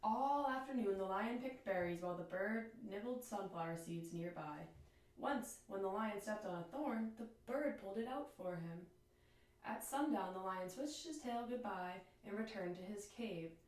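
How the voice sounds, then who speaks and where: A woman reads a picture book aloud in a very echoey room, a little away from the mic. She sounds like a teacher with young listeners, phrasing each line expressively and deliberately. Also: medium pitch. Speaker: teacher reading aloud to children. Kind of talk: children's storybook read-aloud. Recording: echoey room, distant microphone.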